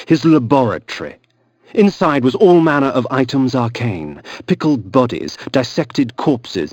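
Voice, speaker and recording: A sardonic vampire nobleman narrating a dark fantasy game. His delivery is grandiose and disdainful, with a widely swinging melody over a mid-pitched voice. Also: slightly rough, highs cut off. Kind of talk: dramatic scripted game narration.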